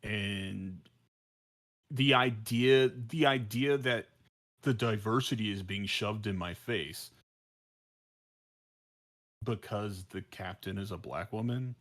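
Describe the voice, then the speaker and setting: A man's voice: lowish voice, slow, pauses often, a bit bright. A man in a casual podcast discussion, speaking informally and emphatically, often repeating words as he works out his point. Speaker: podcast panelist.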